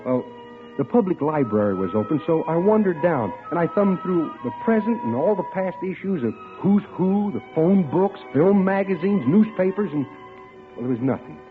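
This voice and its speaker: A man's voice: on the high side, sing-song, moderate pace. An actor playing a man narrating his own story in a 1940s radio drama, with a wry manner. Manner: wry first-person storytelling. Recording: old lo-fi radio recording with music.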